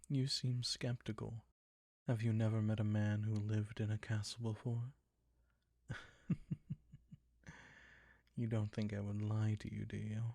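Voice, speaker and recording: A man playing a suave, predatory ancient vampire count in an intimate roleplay audio drama, soft and breathy, courtly and condescending. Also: fairly low pitch, no room sound, clean studio sound, exaggerated voice. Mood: coy, predatory. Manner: courtly, condescending, slow and savoring.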